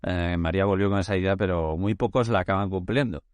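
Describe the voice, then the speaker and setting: A man hosting a casual podcast interview, talking in a loose, relaxed way and often restarting his phrases. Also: low-pitched, quick pace, clean tone, no room sound. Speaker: podcast host.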